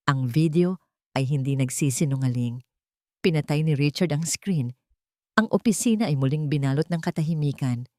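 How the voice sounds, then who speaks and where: A woman with a low-pitched voice tells a dramatic scripted story. Her delivery is measured and theatrical, and the sound is dry, with very little room. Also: warm tone, no room sound. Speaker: audio drama narrator.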